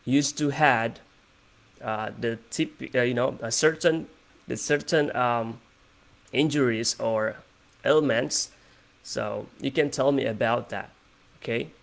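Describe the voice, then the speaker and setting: A man's voice: medium pitch, slow, vocal fry, long pauses. A man with an Indonesian accent, hosting a casual English conversation practice on a video call, hesitant and full of fillers. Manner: hesitant, many fillers and restarts.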